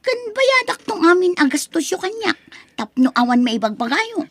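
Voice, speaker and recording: A woman's voice: very high voice, sing-song, moderate pace, fairly bright tone, no echo, heavily acted. A woman playing a spoiled, haughty college-age daughter in an audio drama, whiny, scornful and demanding. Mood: whiny, entitled. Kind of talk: Ilocano audio drama acting.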